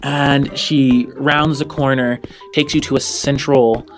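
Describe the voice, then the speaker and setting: A man's voice: mid-range voice, flat delivery, slow. Casual man narrating as game master. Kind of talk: improvised tabletop game narration. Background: faint music.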